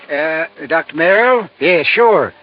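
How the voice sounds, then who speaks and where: Folksy, drawling small-town postmaster, muffled sound. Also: male voice, a little high, animated, slow pace, soft background music. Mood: helpful, unhurried. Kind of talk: 1950s radio drama acting. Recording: dull vintage radio recording.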